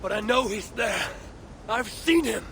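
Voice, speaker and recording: A proud warrior god with a fairly high, gravelly voice. He declaims solemnly and stubbornly in video game drama style, with noisy ambience behind him. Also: expressive, unhurried, full character voice. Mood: insistent. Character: proud, obsessive warrior god seeking his lost son. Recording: loud background noise of game ambience.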